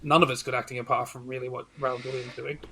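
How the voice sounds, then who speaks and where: A man's voice: medium pitch, little pitch movement, fast, crowd noise. A man hosting a casual film discussion. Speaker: host of a film review show.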